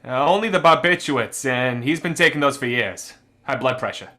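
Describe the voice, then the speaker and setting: An actor playing a brisk, wry policeman in a radio murder mystery, talking in a conversational, emphatic way. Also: mid-range voice, expressive, bright, forceful tone. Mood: matter-of-fact. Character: brisk, wry policeman briefing an inspector on suspects.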